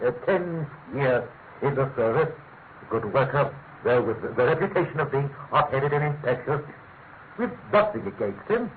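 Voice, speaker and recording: A man acts out a fussy, self-important British government grandee in a radio play. He speaks at a middle pitch, halting and then pressing his words with grave emphasis. The sound is thin and tinny, with a clear layer of noise. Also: lively intonation, natural voice. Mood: official, measured. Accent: British English. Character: pompous, fussy male senior government official, gravely insistent. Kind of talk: classic British radio mystery acting. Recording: tinny, narrow-band radio recording with hiss.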